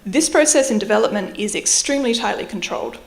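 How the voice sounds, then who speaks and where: Woman, 30s, Australian accent with slight British edge, mid pitch; public lecturer, clear and explanatory, lecture-hall mic. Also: expressive, fast. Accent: Australian with slight British influence. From Adelaide, Australia. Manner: explanatory, with light self-deprecating asides. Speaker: university researcher giving a public lecture. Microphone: microphone at a lectern or on her.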